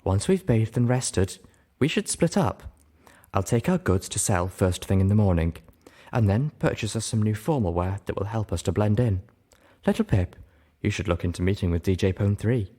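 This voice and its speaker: A man's voice: fairly low pitch, animated, moderate pace, warm tone. Man voicing a haughty refined lady unicorn. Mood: businesslike. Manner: prim, pointed, formal phrasing.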